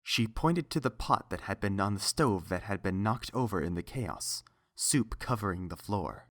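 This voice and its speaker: A woman's voice: extremely low pitch, expressive, normal speed. A woman reading a scripted story aloud as the narrator.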